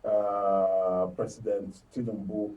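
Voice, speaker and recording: A man's voice: on the low side, little pitch movement, slow pace, dark tone, distant mic, lo-fi sound. A man with a Nigerian accent hosting a current affairs panel discussion. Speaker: radio and TV host.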